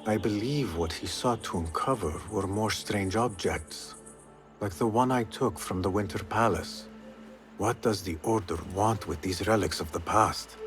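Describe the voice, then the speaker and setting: An actor playing a troubled, earnest young assassin novice in a video game, speaking formally and in a measured way. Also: male voice, lowish voice, lightly acted. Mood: guarded. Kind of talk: dramatic video game acting. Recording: dramatic music behind the voice.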